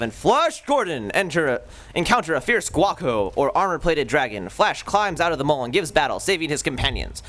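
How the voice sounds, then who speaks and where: A man narrating a live amateur radio play with lively storytelling emphasis. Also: a little high. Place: small museum stage, live. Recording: live recording with background noise.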